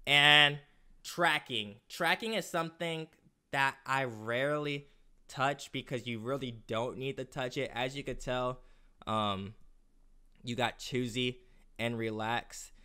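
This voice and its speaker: A man's voice: mid-range voice, slow pace, sharp tone, clear, resonant tone. A young man hosting a casual screen-recorded tutorial from a small room indoors, chatty and loose with plenty of fillers. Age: young adult. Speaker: music production tutorial host.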